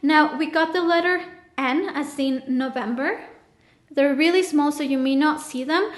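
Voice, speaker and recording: A woman's voice: high-pitched, reverberant. A woman giving a casual tarot reading, chatting loosely and speaking straight to the viewer, her voice a little strained. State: voice feels off, a bit strained. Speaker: tarot reader.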